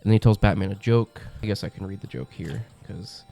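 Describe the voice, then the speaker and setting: Congested young guy, deep gravelly voice, chatty comic review host, lots of ums, soft music behind. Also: a little fast. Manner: chatty, enthusiastic, many ums and uhs. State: congested from a cold. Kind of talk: casual review monologue.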